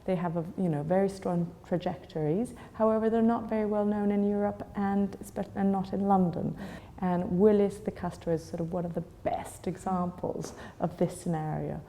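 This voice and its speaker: A woman's voice: mid-range voice, steady tone, moderate pace, dark tone, long reverb tail. A woman in her forties from Chile, answering interview questions thoughtfully, with many fillers and restarts. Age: 40s. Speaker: gallery owner.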